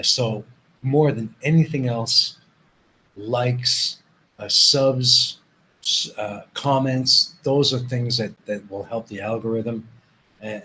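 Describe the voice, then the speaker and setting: A man's voice: mid-range voice, a bit bright, a little hiss or hum, low-quality recording. A man who grew up in Canada with Dutch as his first language, hosting a casual live stream, rambling and repeating himself as he reads viewers' chat.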